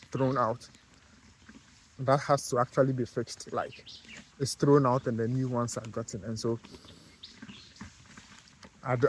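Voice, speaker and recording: A man with a Ghanaian accent talks casually to camera in a vlog, his voice sitting at a middle pitch. He speaks haltingly, filling gaps with fillers and restarting his sentences as he goes. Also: slight background noise. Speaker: vlogger, car detailer.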